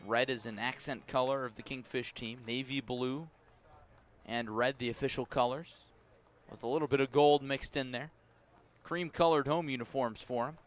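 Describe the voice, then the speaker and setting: Man, American accent, medium-pitched voice, live baseball play-by-play, descriptive, emphatic on action calls, ballpark press box. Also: fairly even intonation, normal speed. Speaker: baseball play-by-play radio announcer. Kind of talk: live sports commentary.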